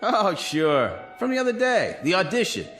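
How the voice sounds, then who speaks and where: An actor playing a dry, mocking nightclub manager in a 1960s TV drama. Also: male voice, high voice, huge pitch swings, moderate pace, fairly bright tone, soft background music, exaggerated voice. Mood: dismissive.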